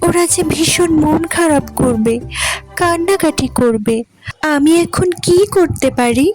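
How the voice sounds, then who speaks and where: Woman as a high-voiced, earnest mother bird. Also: a little slow, a little mellow. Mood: worried, sad. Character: tender, anxious mother tailorbird in a storybook cartoon. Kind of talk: children's cartoon story voice acting. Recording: music under the voice.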